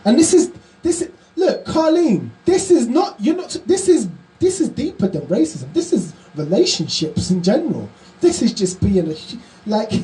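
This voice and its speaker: A male radio show host talking live, excitable and exclamatory, often repeating his phrases. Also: high voice, low-quality recording.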